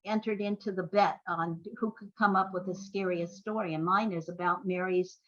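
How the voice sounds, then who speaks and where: A woman's voice: on the low side, fairly even intonation, moderate pace, fairly bright tone, lo-fi sound. An older woman, a playwright guest on an interview podcast, telling anecdotes in a rambling, enthusiastic way.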